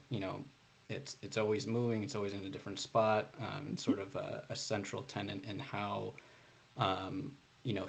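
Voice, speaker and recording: A man's voice: fairly low pitch, gravelly. A young man answering interview questions casually as an author, hesitant and padding his explanations with "you know". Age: young adult. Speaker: debut author being interviewed.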